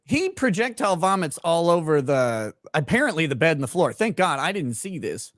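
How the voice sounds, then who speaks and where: A man hosting a livestream, telling profane, animated stories in casual banter. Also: on the high side, moderate pace, no echo, clean studio sound. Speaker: host. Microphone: desk mic on an arm.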